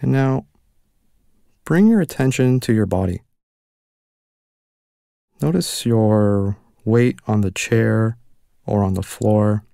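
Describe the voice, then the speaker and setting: A man leading a guided mindfulness meditation with slow, gentle and soothing instructions. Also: on the low side, vocal fry, no echo, studio quality.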